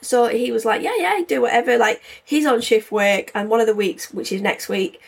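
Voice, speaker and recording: A woman's voice: fairly high pitch, normal speed, creaky, slightly rough. A woman in her forties hosting her own podcast, chatting casually and rambling with lots of fillers. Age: 40s. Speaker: podcast host. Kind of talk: casual solo podcast chat.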